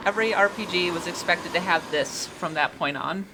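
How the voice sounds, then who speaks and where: A man with a high-pitched voice talks casually as a game critic, offering blunt opinions. Loud rumbling background noise runs under the voice. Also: moderate pace. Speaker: video game critic and commentator. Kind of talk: casual opinionated commentary over game cutscenes.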